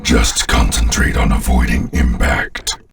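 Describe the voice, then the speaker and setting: A man voicing a sinister, contemptuous alien warlord in a video game, giving pompous, commanding battle orders in a gravelly voice. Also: steady tone, recorded from a distance, exaggerated voice. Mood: commanding, menacing. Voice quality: very deep, gravelly. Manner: slow, pompous, disdainful, commanding. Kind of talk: scripted video game character lines.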